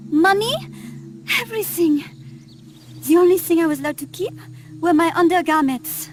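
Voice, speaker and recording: A stranded French birdwatcher in a video game, her voice high and French-accented. She is earnest and plaintive, with music playing behind her. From France. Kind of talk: video game cutscene acting.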